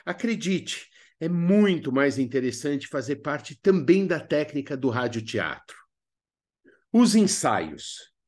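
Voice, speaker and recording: A Brazilian man narrating an instructional video as a workshop teacher, speaking expressively and didactically with emphatic pauses. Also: a little high, very animated, a little mellow.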